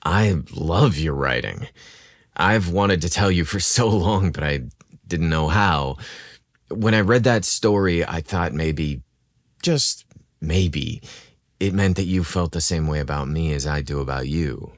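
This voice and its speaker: Guy doing a sexy barista love interest, super low n slow, smooth, intense, romance audiobook. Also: no echo, studio quality. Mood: tender, confessing. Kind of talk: romantic audio storybook acting.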